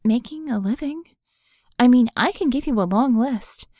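A woman voicing an earnest, curious and skeptical female mage in an audiobook, speaking in a halting, candid, conversational way. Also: a little high, lively intonation, warm tone, no room sound, studio quality, pitched above the performer's own voice. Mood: thoughtful, sympathetic.

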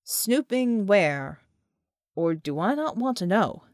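A woman voicing a gruff, guarded, hard-drinking man in an audiobook, speaking tersely and deliberately. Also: high-pitched, big pitch swings, no echo, studio quality, full character voice. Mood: wary.

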